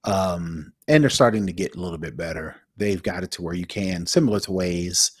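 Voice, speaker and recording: A man's voice: sits low, a little fast, no echo, studio quality. A man co-hosting a tech podcast, chatting casually and hesitantly with many fillers. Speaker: podcast co-host.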